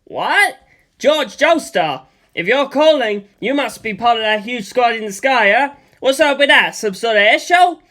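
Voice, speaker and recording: A woman voicing an excitable male engineer and former pilot. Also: on the high side, unhurried, pitched above the performer's own voice. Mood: excited. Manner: excited, loud, panicky.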